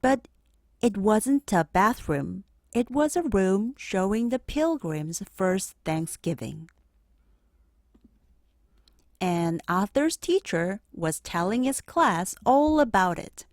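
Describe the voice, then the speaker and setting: Female children's read-aloud narrator, lively intonation at a slightly slow pace, expressive phrasing. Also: mid-range voice, no echo, studio quality. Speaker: storybook narrator.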